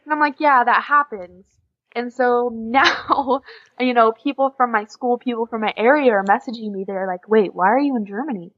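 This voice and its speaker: A young American woman chatting casually as a podcast guest, telling stories in a lively, conversational way. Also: a little high, normal speed. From USA. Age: young adult. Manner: chatty, animated storytelling with quoted dialogue and frequent giggles. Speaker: podcast guest, exchange student. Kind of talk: casual interview chat. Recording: slightly dull, lo-fi audio.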